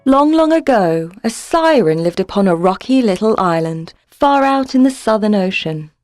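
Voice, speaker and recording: Lady narrator doing storybook reading, medium pitch, really dry close recording. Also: lively intonation, moderate pace, a little mellow, no echo, faint music behind.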